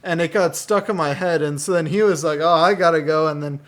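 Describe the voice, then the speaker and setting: A man's voice: fairly high pitch, brisk, fairly bright tone. A young man chatting casually as co-host and reading out viewer comments, rambling with restarts. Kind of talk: casual chat.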